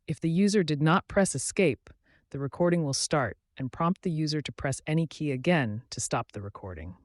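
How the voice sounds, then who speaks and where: A man with a slightly high voice delivers scripted tutorial narration in a measured, instructional manner. The sound is dry and close, as a voice-over recorded right on the mic. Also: expressive, dry recording. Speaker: tutorial host.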